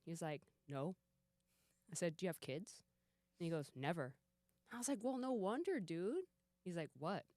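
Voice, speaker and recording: A woman in her forties talks on a podcast in a fairly low voice. She is an animated storyteller who acts out conversations and swears casually. The sound is dry and close. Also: moderate pace, long pauses, no echo. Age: 40s. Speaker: podcast guest. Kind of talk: casual chat.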